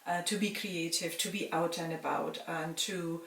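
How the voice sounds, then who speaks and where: A middle-aged woman from Germany calmly answers viewer questions in a casual vlog, pausing with frequent ums. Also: lowish voice, vocal fry, recorded from a distance. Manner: calm, measured, with frequent ums. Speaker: vlogger. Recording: roomy sound from away from the mic.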